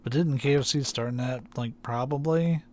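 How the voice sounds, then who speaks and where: A man's voice: medium pitch, moderate pace, darkish tone, slight background noise. A man from Kentucky chatting casually while playing a game on stream, rambling with lots of fillers and restarts. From Kentucky, USA.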